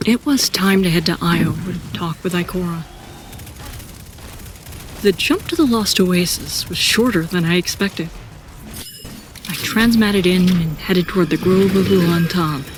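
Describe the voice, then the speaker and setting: A woman playing an introspective seasoned warrior who narrates her own story in an audio drama, reflective and deliberate. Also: lowish voice, background noise, natural voice. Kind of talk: first-person scripted audio drama narration.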